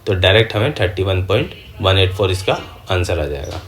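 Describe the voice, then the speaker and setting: A man's voice: sits low, animated, fairly quick, a bit bright, faint music behind. A man teaching a maths lesson step by step, often posing questions and answering them himself, with frequent check-in tags. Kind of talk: exam maths lesson, step-by-step worked solutions.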